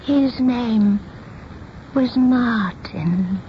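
An actress playing a fragile, childlike older small-town spinster in a radio drama, speaking in a hesitant, stammering, wistful way. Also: female voice, a little high, extremely slow. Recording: muffled noisy 1970s radio drama recording.

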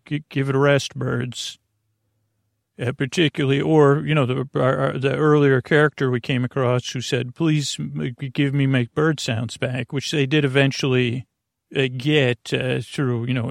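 A man hosting a bedtime podcast, talking through a long, meandering, unhurried intro meant to ease listeners toward sleep. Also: mid-range voice, creaky, no echo, clean studio sound. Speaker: podcast host.

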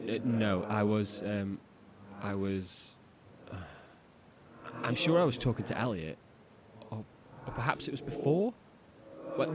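An actor playing a troubled, gentle man in a horror audio drama, hesitant and emotional in his delivery. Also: on the low side, background noise, natural voice. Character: troubled, gentle man trapped in darkness, longing for family.

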